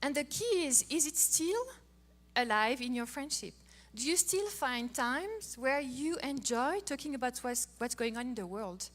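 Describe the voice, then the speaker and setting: High-voiced French woman, playful. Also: measured pace. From France. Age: middle-aged. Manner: playful, animated storytelling. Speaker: co-host of a marriage talk. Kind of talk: casual talk to an audience.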